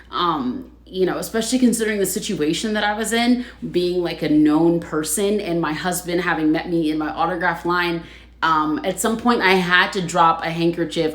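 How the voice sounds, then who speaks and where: Woman host, casual livestream chat, a bit low-pitched, roomy echo; chatty, laughs a lot. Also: fairly bright tone. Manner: chatty, laughs often, reads viewer comments aloud.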